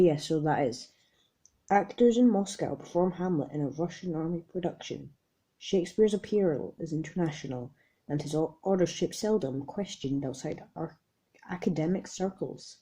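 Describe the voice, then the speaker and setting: Raspy, low-pitched woman rambling casually. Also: mellow. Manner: self-deprecating, jokey, stumbles over reading. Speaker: amateur video maker. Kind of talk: casual rambling vlog with articles read aloud.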